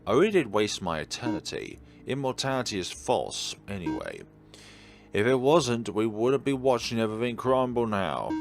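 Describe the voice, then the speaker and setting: A man reading out visual novel dialogue as a weary, ancient godlike being, wistful and gently teasing. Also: lowish voice, very animated, normal speed, creaky. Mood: weary, resigned. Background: faint background game music.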